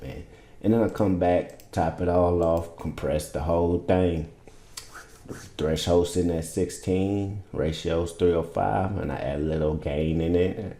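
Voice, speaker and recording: Male producer, bass-heavy voice, easygoing and rambling, roomy home-studio sound. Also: warm tone. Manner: laid-back, rambling, loose and informal. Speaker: music producer teaching vocal mixing. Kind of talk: casual screen-share tutorial. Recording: roomy echo.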